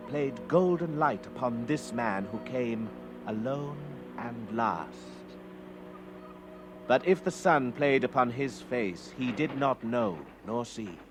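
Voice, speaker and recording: A man acting as an awed spaceship crewman, giving grand, lyrical and solemn narration in a radio drama. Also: mid-range voice. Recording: faintly noisy 1960s radio recording.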